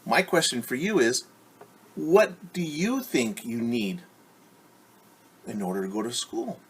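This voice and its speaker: A man's voice: medium pitch, sing-song, slow, breathy edge. A man teaching young children at story time, reading aloud and explaining in simple, encouraging words with questions to his listeners.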